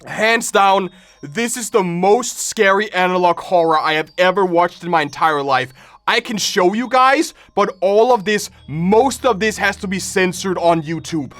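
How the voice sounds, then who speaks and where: A man's voice: high-pitched, expressive, measured pace, a bit bright. A man live-streaming his reaction to horror videos, reading text aloud and commenting dramatically, often repeating his phrases.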